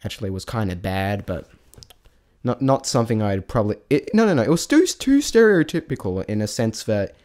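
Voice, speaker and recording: A man giving a casual, unscripted review in a halting, rambling way, often correcting himself mid-thought. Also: lowish voice, big pitch swings, darkish tone. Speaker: vlogger reviewing a stage show.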